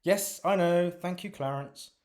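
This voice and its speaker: A man voicing a sharp-tongued woman who leads a team, exasperated and swearing. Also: high voice, unhurried, voice pushed up.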